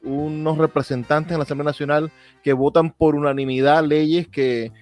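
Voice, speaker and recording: Man, Venezuelan accent, interviewer, fairly high pitch, conversational, direct and opinionated, faint background music. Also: flat delivery, moderate pace, a little mellow.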